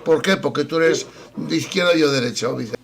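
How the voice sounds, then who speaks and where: A man's voice: a little high, fast, gravelly, slight background noise. A man from Spain in a video-call debate who lays out his arguments firmly, in a lecturing way. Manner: firm, declarative, lecturing. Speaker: debate participant.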